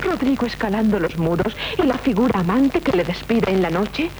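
Actress as bitter passionate noblewoman, Castilian accent, solemn theatrical declamation, very expressive pitch, faintly noisy vintage radio recording. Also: normal speed, lightly acted. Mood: bitter irony. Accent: Castilian Spanish. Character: proud young noblewoman, tormented, bitter, passionate. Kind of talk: 1970s Spanish radio drama acting.

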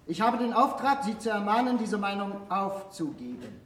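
A man's voice: sits high, moderate pace, a bit bright, slight background noise. A man acting a dutiful church scribe in a radio drama, reciting the record precisely. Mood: officious. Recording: echoey, distant radio play recording.

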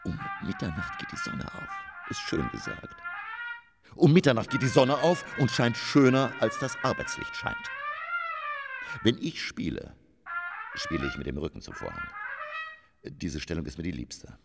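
An actor in a radio drama playing a melancholy, self-absorbed stage actor who declaims and swings between whispers and outbursts. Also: male voice, mid-range voice, wildly swooping pitch, moderate pace, pauses often, over music. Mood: wistful, declaiming. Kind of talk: German radio drama monologue.

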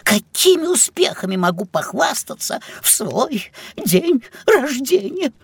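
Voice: high voice, big pitch swings, measured pace, gravelly, husky, dry recording, heavily acted. An actor playing a grumpy, pompous, very old male talking pet in a children's audio play, with theatrical, fussy delivery. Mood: pompous, fretful.

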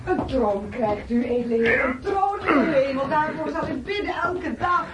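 A bossy, effusive peasant mother in a radio drama. Her fairly high voice swoops about in exclamations, heard off-mic in an echoey space with voices behind. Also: animated, moderate pace, far from the mic, very noisy. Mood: gushing gratitude. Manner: gushing, scolding, exclamatory. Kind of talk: Dutch radio play acting.

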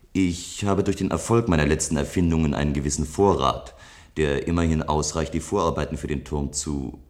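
A very low male voice carries this science fiction radio play. a composed, self-assured inventor of games from a future society. His delivery is articulate, measured and reflective. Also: darkish tone. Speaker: narrator and lead character in a radio play. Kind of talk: scripted German radio drama, first-person narration.

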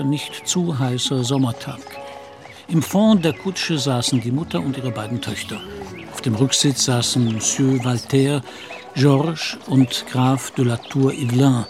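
A man reading scripted literary narration as the storyteller of a German radio play. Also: mid-range voice.

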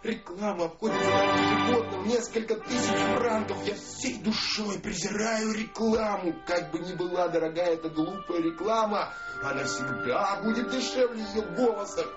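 High-voiced bitter drunken husband, acted by a man. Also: dull audio, over loud music, close to an everyday voice. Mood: scornful. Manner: insistent declaiming, drawn-out grumbling. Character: bitter, drunken, querulous husband of a famous singer. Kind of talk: radio drama monologue.

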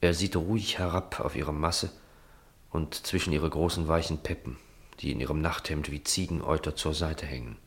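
Man, low voice, narrator, scripted literary radio-play narration. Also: steady tone, normal speed.

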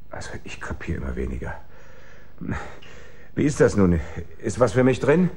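An actor playing a cocky young small-time crook in a radio crime drama, insolent and quick to defend himself. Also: male voice, lowish voice, huge pitch swings, darkish tone, breathy edge, heavily acted. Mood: wary, defensive.

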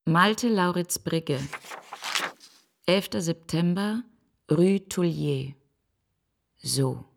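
A woman reading in a literary radio play, very deliberate, in short fragments. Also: fairly low pitch, creaky, noisy background, heavily acted.

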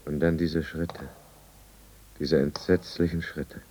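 A man's voice: rumbling low, little pitch movement, slow, a little mellow, a little hiss or hum. An actor playing a desperate, besotted drunkard businessman in a 1950s German radio drama. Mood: bleak, remorseful. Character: desperate drunkard businessman, besotted and blustering, later remorseful narrator.

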